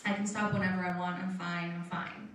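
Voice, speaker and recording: A woman's voice: lowish voice, flat delivery, fairly quick. Candid woman in her thirties, echoey. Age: 30s. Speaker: program resident giving testimony. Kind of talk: church testimony. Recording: distant mic, heavy room echo, faint noise.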